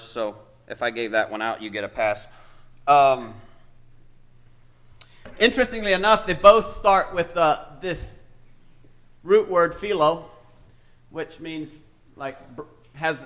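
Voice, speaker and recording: Man, preacher. Slightly higher voice, loose conversational sermon with lots of ums, church setting. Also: fairly bright tone. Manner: conversational, hesitant, thinks aloud with many fillers. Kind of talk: informal Bible teaching sermon.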